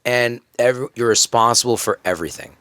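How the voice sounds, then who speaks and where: A man's voice: medium pitch, fast talker, fairly bright tone, no room sound. A man from Rhode Island, a comedian guest with a big broadcast voice, speaking earnestly and emphatically on a casual podcast. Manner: earnest, emphatic, self-assured.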